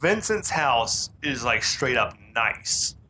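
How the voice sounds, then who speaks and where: Man, bright forceful voice, casual podcast recap, faint rumble behind. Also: sing-song, slow pace. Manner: laid-back, retells scenes with quoted lines. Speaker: podcast co-host. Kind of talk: casual movie recap chat.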